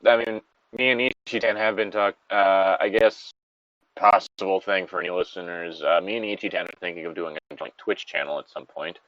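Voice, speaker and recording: A man's voice: sits low, fairly even intonation, fairly quick, no echo, dull audio. A man giving casual, rambling and blunt commentary on a story, with frequent swearing. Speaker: podcast host reading and commenting on a story.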